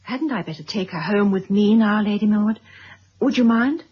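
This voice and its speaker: An actress playing a brisk, sensible, composed woman in a radio drama, firm but polite, with a breathy voice. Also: medium pitch, lightly acted. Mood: composed, tactful. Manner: firm, crisp commands, polite. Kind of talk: 1970s radio drama acting. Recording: dull old radio recording.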